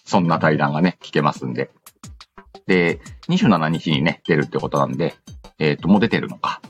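Deep-voiced man hosting a chatty show. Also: animated, brisk. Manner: reads announcements aloud with playful asides. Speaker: show host reading listener letters. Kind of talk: casual chatty radio-style talk. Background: background music.

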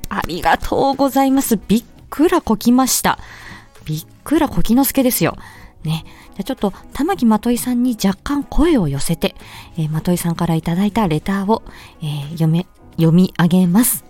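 A woman's voice: medium pitch, lively intonation, brisk, mellow, faint music behind. A woman streamer chatting politely and explaining things in answer to a listener's letter. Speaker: streamer and speech-language therapist. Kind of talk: casual solo chat answering a listener's letter.

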